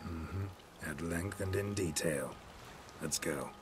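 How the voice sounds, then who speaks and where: An actor playing a world-weary, cynical monster hunter in a video game, with a gruff, gravelly American voice and a terse, dry delivery. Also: male voice, low-pitched, slow, over music.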